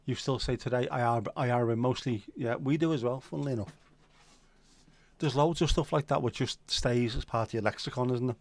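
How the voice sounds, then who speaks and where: A man's voice: mid-range voice, fairly quick. Sweary Scouse man chatting on a livestream. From Liverpool, England. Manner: banter-filled, sweary, jokey. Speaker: livestream host. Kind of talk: casual livestream chat reading viewer comments.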